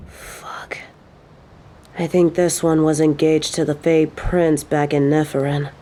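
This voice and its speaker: A woman's voice: deep voice, little pitch movement, takes it slowly, mellow. A woman playing an earnest, protective, foul-mouthed female agent in a dramatic audio roleplay. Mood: shocked, subdued. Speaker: field agent.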